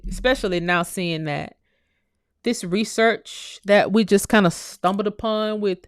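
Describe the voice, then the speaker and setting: A woman's voice: mid-range voice, clear, resonant tone, dry recording, studio quality. A woman hosting her own commentary channel, speaking in an impassioned, preachy monologue that repeats phrases and swears freely.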